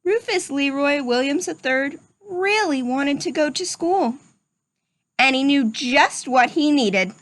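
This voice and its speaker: A woman reading a picture book aloud to young children in an expressive storytelling manner. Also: high-pitched, takes it slowly. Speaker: storybook reader. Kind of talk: children's read-aloud narration.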